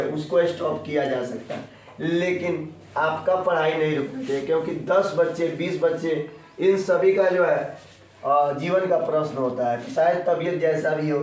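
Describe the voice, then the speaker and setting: Echoey, higher-pitched man teaching, throat slightly off. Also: darkish tone, slight background noise. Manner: didactic, repeats points and quizzes the pupils. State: sore throat, off voice. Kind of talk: classroom geography lesson for school children. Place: echoey room, teaching in person. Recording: very echoey room, distant mic.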